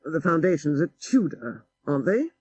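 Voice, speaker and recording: A man voicing a worldly, bookish gentleman in an audiobook story, urbane, knowing and deliberate. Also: a little high, very animated, husky, no echo, highs cut off. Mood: inquiring.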